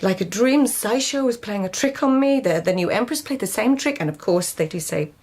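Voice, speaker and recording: An actress playing an intense, devoted lady of an old Japanese court in a radio drama, husky and hushed, speaking with deliberate care. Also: medium pitch, animated. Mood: anguished, fearful. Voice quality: husky, often breathy near-whisper. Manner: hushed, deliberate, haunted storytelling. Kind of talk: literary radio drama acting.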